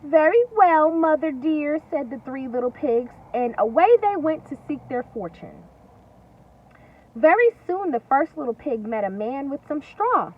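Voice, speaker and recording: A woman with a high, very animated voice reads to young children like a teacher. She is singsong and emphatic, leaving pauses after questions. The mic sounds distant, with slightly dull sound and faint noise. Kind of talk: interactive storybook read-aloud. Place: at home.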